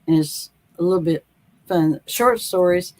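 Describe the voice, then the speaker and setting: A woman author from North Carolina with a Southern accent, chatting in an interview in a slow, folksy, rambling way with many pauses and fillers. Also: lowish voice, a little mellow. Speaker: author guest. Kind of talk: casual interview.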